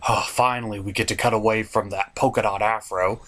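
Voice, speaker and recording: A man's voice: lowish voice, steady tone, a little fast, a bit bright. A man hosting a scripted comedic review, narrating in a sarcastic, exasperated manner. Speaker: host of a comedic cartoon review show.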